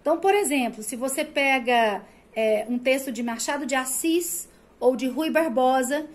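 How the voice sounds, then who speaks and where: A woman's voice: high voice, normal speed. A woman from Brazil with a Brazilian Portuguese accent that pulls the R, giving a recorded prep-course lecture in an explanatory way, full of rhetorical questions and "né?" tags. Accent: Brazilian Portuguese, rolled R of the interior. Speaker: Portuguese teacher.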